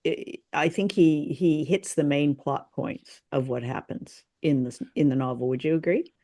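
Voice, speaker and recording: A woman from Canada with a gravelly, creaky edge to her voice co-hosts a casual talk show. She is wry and thoughtful, feeling her way through each point with some hesitation. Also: deep voice, moderate pace, dark tone. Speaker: podcast co-host. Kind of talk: casual chat reviewing a radio drama.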